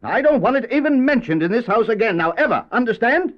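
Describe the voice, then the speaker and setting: A domineering, middle-aged father in an old radio play. His voice is high and very animated, blustering and scornful, tripping over words when flustered. The sound is dull and lo-fi, like an old cassette. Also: a little fast. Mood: angry, forbidding. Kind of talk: old-fashioned radio drama acting.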